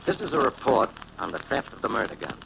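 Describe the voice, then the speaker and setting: An actor playing a stubborn, self-satisfied police inspector, brisk and argumentative, in a 1940s radio detective drama. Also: male voice, sits low, sing-song, creaky, exaggerated voice. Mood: gruff, self-assured. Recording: noisy old 1940s radio recording.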